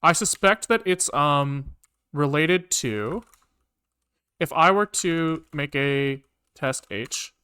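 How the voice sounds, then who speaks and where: A man thinking aloud casually as he programs on a livestream, halting and questioning himself as he mutters along. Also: fairly high pitch, bright, forceful tone, clean tone. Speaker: live-coding streamer, programmer.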